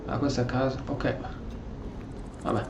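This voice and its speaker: A man's voice: mid-range voice, little pitch movement, moderate pace. An Italian man giving casual gameplay commentary, informal and sweary, reacting and replying to the game. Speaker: gaming streamer. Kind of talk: casual Let's Play commentary with role-played replies. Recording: roomy echo, clear background noise.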